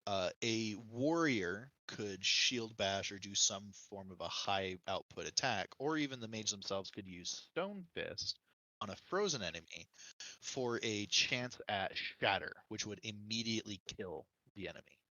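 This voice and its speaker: A man with a slightly low but very bright, forceful voice chats on a gaming podcast. He explains things by working through examples, and the recording is dry and close. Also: moderate pace, dry recording, rough recording. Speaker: podcast co-host or guest. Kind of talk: casual gaming podcast discussion.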